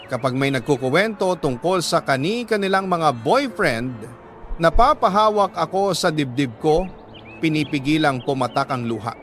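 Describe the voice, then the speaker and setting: A man with a Filipino accent narrating a first-person dramatic story as a radio storyteller, emotive and sorrowful, with deliberate pauses. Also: fairly high pitch, a bit bright. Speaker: radio story narrator reading a listener's letter.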